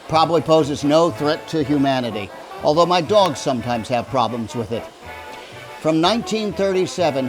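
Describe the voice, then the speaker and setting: A man's voice: fairly high pitch, low-quality recording. A man hosting a radio drama, giving a light, conversational scripted introduction. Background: music.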